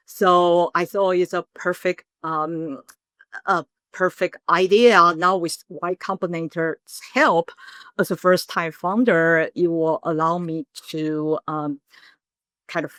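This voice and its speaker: A middle-aged woman with a fairly low voice, speaking as a founder in an interview. She tells her story earnestly, with sudden animated bursts. The sound is dry and close, with no room in it. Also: dry recording. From Taiwan. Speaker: guest, startup founder. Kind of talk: casual interview talk.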